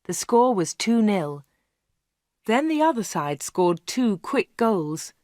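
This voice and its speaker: Brief: woman narrator, slow and clear for learners, dry close studio mic. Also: mid-range voice, sing-song, a little mellow, dry recording. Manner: clear and measured. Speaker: audiobook narrator. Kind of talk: slow read-along storytelling for learners.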